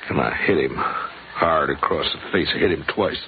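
An actor playing a weary, stubborn middle-aged frontier sheriff with a terse, rustic American way of talking, in a 1950s radio western. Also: male voice, rumbling low, moderate pace. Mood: anguished, resigned. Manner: rustic, terse, heavy-hearted. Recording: muffled, noisy 1950s radio recording.

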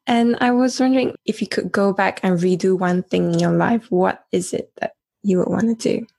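Creaky-voiced woman interviewing. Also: mid-range voice, moderate pace, a little mellow. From East Malaysia. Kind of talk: casual conversational interview.

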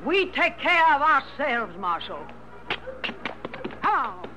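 An actor playing a proud, stubborn aging loyalist in an old radio western. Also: male voice, very high voice, sing-song, sharp tone, full character voice, rough recording. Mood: proud defiance. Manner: slow, stubborn, defiant. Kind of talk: 1950s American radio western acting. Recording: vintage radio drama, faint music behind.

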